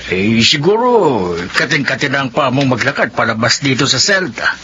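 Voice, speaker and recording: An actor playing a sympathetic, encouraging Filipino prisoner in a radio drama. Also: male voice, mid-range voice, moderate pace, natural voice. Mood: teasing, curious. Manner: expressive, encouraging. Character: chatty, sympathetic male cellmate awaiting parole. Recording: dull lo-fi radio drama, faint noise.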